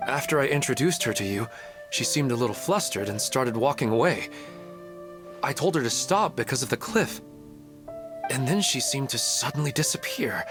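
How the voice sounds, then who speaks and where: An actor voicing a dazed, grieving man in a video game, speaking slowly and earnestly. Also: medium pitch, natural voice. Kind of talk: game dialogue.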